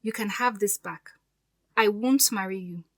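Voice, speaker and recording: A woman's voice: medium pitch, measured pace, fairly bright tone. A woman acting in an audio drama as a poised, resolute fiancée who speaks with pointed, sarcastic politeness. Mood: hurt, resolute.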